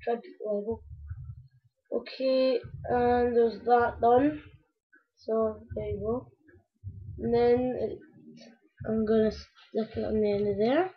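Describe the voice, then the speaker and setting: A woman with a croaky voice, rambling casually to her viewers as she builds a toy step by step. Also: on the high side, fairly even intonation, slow, pauses often. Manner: rambling, talks to viewers while working with hands. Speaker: video maker building a toy. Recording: dull lo-fi home recording.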